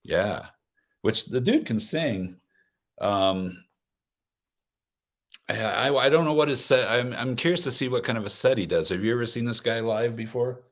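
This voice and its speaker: A man's voice: medium pitch, moderate pace, pauses often. A man chatting casually as a guest, rambling, joking and often cutting himself off. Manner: rambling, self-interrupting, joking. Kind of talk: casual chat about concerts.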